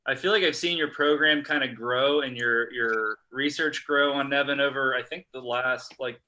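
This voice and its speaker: Bright-voiced man hosting, halting and informal. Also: mid-range voice, fairly even intonation. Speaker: host, history blog contributor. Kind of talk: casual live-stream introduction and chat.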